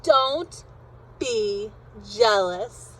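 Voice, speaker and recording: Woman reading as a coaxing, animated storybook mother. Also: on the high side, full character voice, low-quality recording. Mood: chiding. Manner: drawn-out, coaxing phrasing. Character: cheerful, coaxing storybook mother chiding her daughter.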